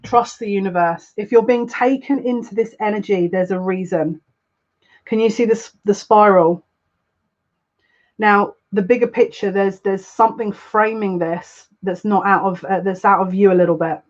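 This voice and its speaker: Woman, psychic livestream host, earnest confiding talk, repeats herself, mid-pitched, slightly muffled stream audio. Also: moderate pace. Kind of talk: live spiritual reading, casual monologue.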